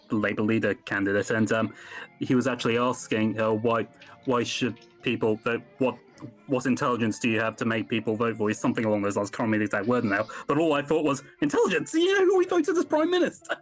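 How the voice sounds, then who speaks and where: A man in a casual group chat, talking in an animated and incredulous way. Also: medium pitch, quick pace, soft background music.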